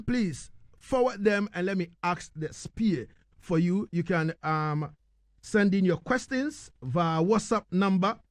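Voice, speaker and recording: A male radio host speaks in a high, very lively voice. His delivery is emphatic and conversational, and the sound is dry and close, suited to live interviewing. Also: no echo. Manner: emphatic, repeats "you know what I mean". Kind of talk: live radio interview, reading listener messages.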